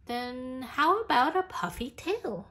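A woman voicing a whimsical, insistent little girl from a picture book, earnest and emphatic with drawn-out words. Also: high voice, sing-song, bright, forceful tone, pitched above the performer's own voice, exaggerated voice.

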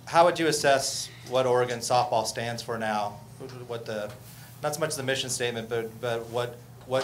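A man with an American accent asking wordy, rephrased questions as a sports reporter at a press conference. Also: mid-range voice. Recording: clear room noise and ambience.

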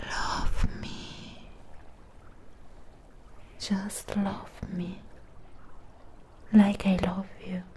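A woman voicing a lonely, possessive female Frankenstein creature in a close-up ASMR roleplay, whispering in breathy, halting, intimate phrases. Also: on the low side, dark tone. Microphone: close microphone. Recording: close-up with clear background noise.

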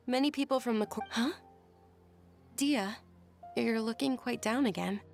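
A refined young noblewoman from a video game, with a high, gentle voice. She is polite and openly emotional, and faint music plays behind her. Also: normal speed, gravelly. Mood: concerned. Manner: refined, soft-hearted, polite.

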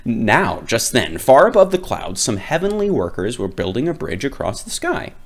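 A man reading a children's picture book aloud as a storyteller, with dramatic, drawn-out emphasis. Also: medium pitch, big pitch swings.

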